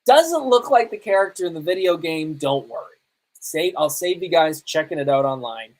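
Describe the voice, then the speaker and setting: A man hosting a podcast, reviewing movies casually in an emphatic, halting way with pauses for effect. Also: fairly high pitch, lively intonation. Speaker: podcast host.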